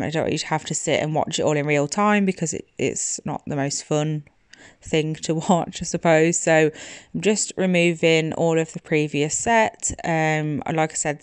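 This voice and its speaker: A woman's voice: low-pitched, clear, resonant tone, no room sound, clean studio sound. A young woman giving a casual, chatty nail tutorial voice-over, sounding slightly congested from hay fever. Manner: chatty, informal. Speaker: nail technician making tutorials. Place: indoors, voice-over recorded in a room at home. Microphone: phone.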